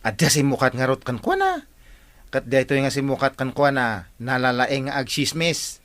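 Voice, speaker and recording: A man puts on a cheeky village watchman for an Ilocano comedy drama. His pitch swings extremely widely around a middling register. He tattles and teases in an exaggerated way, with an Ilocano accent. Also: sing-song, normal speed, fairly bright tone. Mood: teasing, tattling.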